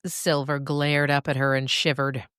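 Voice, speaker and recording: A woman narrator reading a scripted story in a deliberate, dramatic storytelling manner. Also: sits low, level tone, a bit bright, clean tone, dry recording, clean studio sound.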